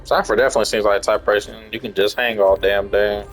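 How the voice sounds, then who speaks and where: A man's voice: on the low side, fairly even intonation, quick pace, soft background music, low-quality recording. A man commenting casually on a game as he plays, in a slangy, salty way with swearing. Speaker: video game player.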